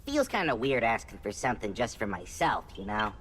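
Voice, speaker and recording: A woman's voice: extremely low pitch, very animated, fairly quick, slightly rough, full character voice. A woman voicing a small, boastful but good-hearted male wanderer in a game, talking in a casual, folksy swagger. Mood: bargaining, sheepish. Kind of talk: video game cutscene acting.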